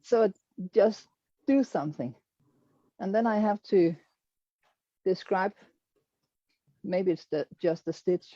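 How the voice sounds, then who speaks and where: Woman, Danish accent, non-native English, middle-aged, slow, hesitant, mellow, dry muffled call audio. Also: mid-range voice, no room sound. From Denmark. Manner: hesitant, searching for English words, self-deprecating humor. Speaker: quilter presenting her work. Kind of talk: presentation to an online audience.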